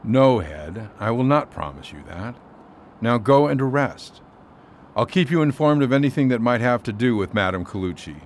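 An actor playing a shrewd, skeptical London solicitor in a radio drama, speaking in a measured, clipped way. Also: male voice, mid-range voice, expressive, a little hiss or hum, natural voice. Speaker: lawyer.

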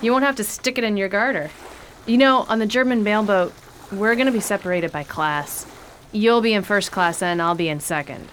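A woman's voice: mid-range voice, moderate pace, a bit bright, slight background noise, close to an everyday voice. A woman playing a humble, plain-spoken woman traveller in radio theatre, frank and a little wistful.

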